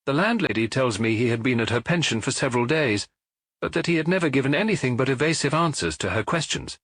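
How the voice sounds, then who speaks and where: A man voicing a brisk, suspicious provincial police inspector in an audiobook, businesslike and insistent. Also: medium pitch, animated, fairly bright tone, no room sound, voice pushed up. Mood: confident, suspicious.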